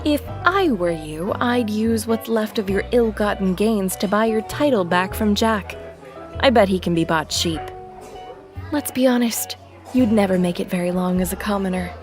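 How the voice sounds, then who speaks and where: A woman playing a cool, shrewd deputy mayor in an audio drama, speaking with crisp, sardonic authority. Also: mid-range voice, normal speed, faint music behind, natural voice.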